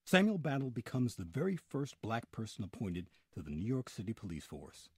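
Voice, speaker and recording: A man's voice: lowish voice, wildly swooping pitch, moderate pace, a little mellow, husky, no echo. A man with an American accent narrating a scripted history documentary.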